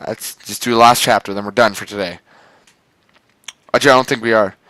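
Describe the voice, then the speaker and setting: A man's voice: on the low side, steady tone, a little fast, sharp tone, dry recording. A man doing a mock-dramatic amateur reading, stumbling over the words and breaking off for exasperated asides. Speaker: amateur reader of fan fiction.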